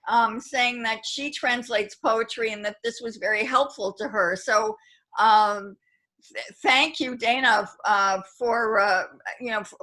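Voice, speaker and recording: Earnest, halting woman on a video call. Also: on the high side, bright, forceful tone, rough recording. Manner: earnest, halting with many restarts. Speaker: poet and event host. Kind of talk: reflective online panel talk.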